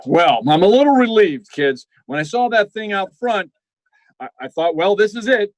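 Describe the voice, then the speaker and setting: A man plays a sardonic family doctor in a 1940s-style radio comedy. His voice is quite high for a man, emphatic and fussy, with dry mock insults and very little room sound. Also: lively intonation, moderate pace, no room sound, rough recording.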